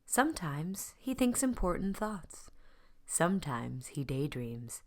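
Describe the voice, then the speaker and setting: A woman narrates a children's storybook in a low voice. She reads slowly and deliberately, leaving dramatic pauses, and her pitch rises and falls expressively. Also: big pitch swings, a little mellow. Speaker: storybook reader.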